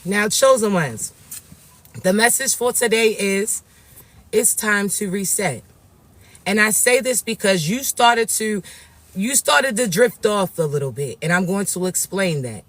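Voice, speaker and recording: A raspy-voiced man, talking slowly and tenderly to viewers as a spiritual reader. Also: sits high, lively intonation, no room sound. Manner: soft, drawn-out, affectionate address to viewers. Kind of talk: livestream reading for viewers.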